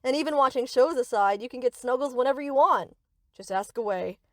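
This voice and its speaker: A woman's voice: high-pitched, fairly quick, no echo, studio quality, natural voice. A woman playing a sweet, reassuring but possessive young yandere best friend in an anime-style audio roleplay, coaxing and doting.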